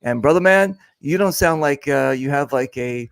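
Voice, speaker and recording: A man's voice: on the high side, moderate pace, creaky, smooth, no echo. A man hosting a casual interview show, teasing his guest and rambling with lots of fillers. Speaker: podcast host. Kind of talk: casual joking interview chat.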